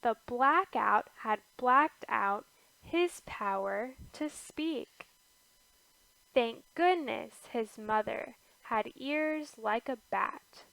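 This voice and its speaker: A woman reads a rhyming picture book aloud in a higher-pitched voice with noticeable fry. She takes it extremely slowly, setting out each short phrase with care. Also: fairly bright tone, no room sound, poor audio. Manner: deliberate phrasing with pauses between short phrases. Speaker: storybook reader.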